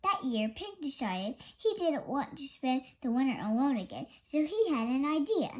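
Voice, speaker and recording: A child reading a picture book story aloud, halting at times and correcting slips along the way. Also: high-pitched, big pitch swings. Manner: halting young reader, stumbles and self-corrects. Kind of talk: read-aloud story narration.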